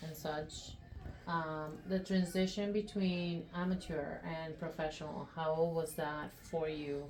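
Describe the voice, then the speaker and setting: A woman hosting a podcast, asking interview questions in a polite, steady way. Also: deep voice, takes it slowly, poor audio. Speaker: podcast host.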